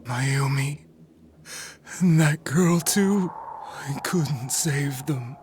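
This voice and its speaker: An actor playing a guilt-ridden man in an English video game dub, muttering haltingly to himself. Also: mid-range voice, darkish tone, slight background noise. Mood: despairing.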